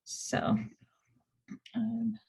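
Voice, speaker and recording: A woman's voice: very slow, a little mellow, poor audio. A young woman from northeast England with a Northeast English accent, giving a research talk over a video call, chatty and plain-spoken. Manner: chatty, plain explanations with frequent "so" and "um".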